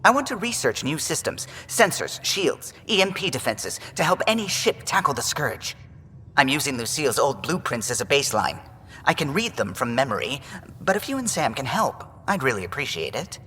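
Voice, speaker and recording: Eager alien pilot in a game, bright lively voice, very polite and precise. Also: male voice, mid-range voice, normal speed, big-room sound, slight background noise. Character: earnest, eager male alien starship pilot. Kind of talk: video game character acting.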